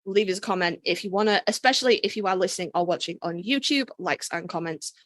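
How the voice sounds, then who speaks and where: A woman's voice: fairly low pitch, fast talker, a bit bright, no room sound, clean studio sound. A woman co-hosting a podcast, chatting casually and emphatically with informal, sweary phrasing. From Britain. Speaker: podcast co-host. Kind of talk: casual fan discussion chat.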